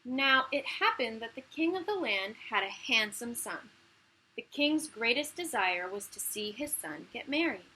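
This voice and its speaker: A woman reading a children's story aloud as a teacher, in an animated, emphatic way with key words drawn out. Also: a little high, a little slow, poor audio. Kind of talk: expressive children's read-aloud narration.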